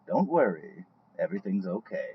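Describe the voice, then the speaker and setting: A man playing a cold-blooded, businesslike hired killer in a radio play, calm and matter-of-fact. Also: deep voice, moderate pace, breathy edge, heavily acted. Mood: coolly menacing. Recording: dull, band-limited phone-call sound.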